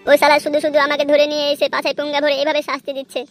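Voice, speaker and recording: Woman as a very high, rapid-fire cartoon crook. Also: completely flat delivery, loud music behind the voice, close to an everyday voice. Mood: aggrieved pleading. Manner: aggrieved, swearing, pleading. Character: hot-headed, foul-mouthed captive small-time male crook. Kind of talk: amateur comedy cartoon dubbing.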